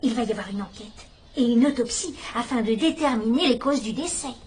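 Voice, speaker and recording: An actress playing a shrewd, gentle elderly spinster detective in a dubbed drama, speaking in a wry, quietly probing way. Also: female voice, on the high side, fairly quick, a little hiss or hum, lightly acted. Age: older. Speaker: amateur detective. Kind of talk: dubbed radio-style drama acting.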